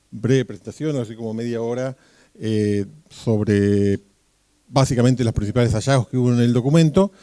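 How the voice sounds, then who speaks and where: Mellow-voiced man introducing a speaker. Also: medium pitch, smooth, dry recording. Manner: rambling, many fillers, joking. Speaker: event organizer introducing the speaker. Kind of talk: informal introduction at a public event.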